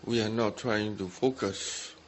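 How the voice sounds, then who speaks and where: A man with a fairly low voice gives guided meditation instruction as a Buddhist teacher. English is not his first language, and he speaks in brief, plain phrases separated by gaps. There is noticeable background noise in the recording. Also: fairly even intonation, measured pace. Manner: short simple phrases with gaps between them. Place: meditation retreat talk.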